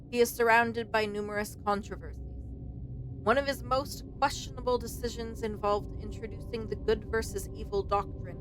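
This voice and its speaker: A woman's voice: on the high side, poor audio. A woman streamer doing let's play commentary and reading game text aloud.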